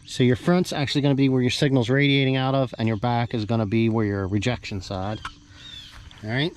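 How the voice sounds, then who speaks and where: A man giving a casual, off-the-cuff unboxing commentary, halting and thinking aloud as he handles parts with a camera in hand. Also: mid-range voice, fairly even intonation. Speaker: hobbyist vlogger doing a product unboxing. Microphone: handheld camera held while working, varying distance. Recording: noisy handheld camera audio, clear background noise.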